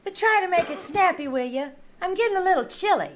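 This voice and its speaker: A woman's voice: extremely high pitch, animated, fast talker, background noise. An actress playing a coy, breezy showgirl with a girlish, coaxing manner in old-time radio comedy style. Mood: coy complaining. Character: coy, breezy female balloon dancer, showgirl. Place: live on a small theater stage.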